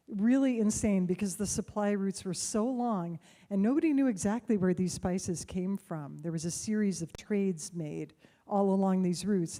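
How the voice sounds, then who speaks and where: A middle-aged woman tells a personal story on stage to a live audience, unhurried and conversational with soft laughs. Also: mid-range voice. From United States.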